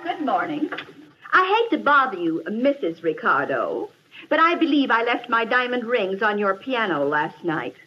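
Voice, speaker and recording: Haughty radio landlady, high-pitched and sarcastic, muffled vintage sitcom recording. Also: sing-song, moderate pace, a little hiss or hum. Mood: icily polite. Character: huffy landlady, icily polite and catty. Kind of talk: 1950s radio sitcom acting.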